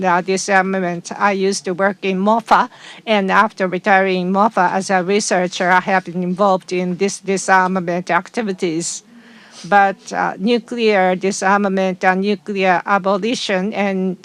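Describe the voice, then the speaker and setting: A woman working as a conference interpreter, rendering a speech into English in a halting way with frequent fillers. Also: mid-range voice, smooth.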